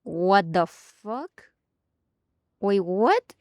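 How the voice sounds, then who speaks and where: A woman doing casual game commentary, thinking aloud haltingly and breaking into exclamations. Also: medium pitch, big pitch swings, a little mellow, no echo. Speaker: streamer playing a game.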